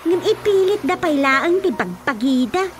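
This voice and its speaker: An actress with an Ilocano accent playing a spirited, sharp-tongued young village woman in a radio drama comedy, with music behind her. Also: sits high, big pitch swings, moderate pace, heavy background noise. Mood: lecturing. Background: background music.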